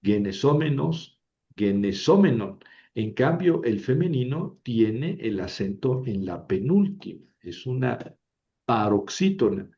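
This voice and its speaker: A man's voice: medium pitch, big pitch swings, slow, creaky, warm tone. A man teaching a language lesson, explaining patiently and often checking with "¿verdad?". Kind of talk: lecture. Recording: dull lo-fi recording.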